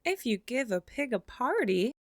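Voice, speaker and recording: A woman reading a children's picture book aloud in an expressive way, slowing down for the closing lines. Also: on the high side, no echo, studio quality. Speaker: storybook reader. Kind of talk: children's read-aloud narration.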